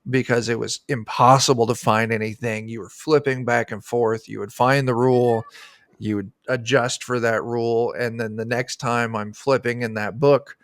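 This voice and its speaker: A man's voice: medium pitch, fairly even intonation, moderate pace, dry recording, studio quality. A middle-aged man, a guest game designer, chatting casually in an interview on a video call. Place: indoor room, on a video call.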